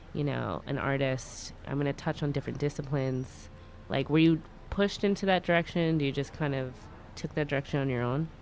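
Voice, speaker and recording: A woman hosting a casual interview, playful and quick to laugh, swearing now and then. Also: low voice, a little hiss or hum. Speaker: podcast host.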